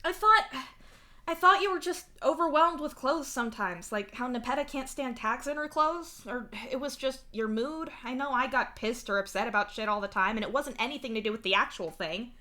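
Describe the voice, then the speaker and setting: A woman's voice: a little high, normal speed, few pauses, fairly bright tone, voice pushed up, close to an everyday voice. A woman voicing an anxious, defensive troll protagonist in a story, protesting and pleading in a small voice.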